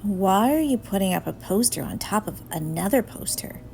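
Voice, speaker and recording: A woman's voice: medium pitch, lively intonation, moderate pace, gravelly, a little mellow, soft background music. A woman voicing a cheeky, nosy little girl from a storybook, teasing and bluntly inquisitive.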